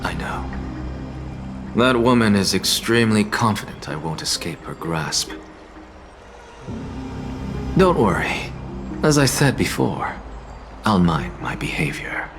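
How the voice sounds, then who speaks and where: An actor playing a haughty, sardonic, ailing scholar in a video game, with a theatrical, dismissive way of talking. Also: male voice, on the low side, very animated, slow pace, long pauses, over music, exaggerated voice. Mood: weary disdain. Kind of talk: scripted video game dialogue.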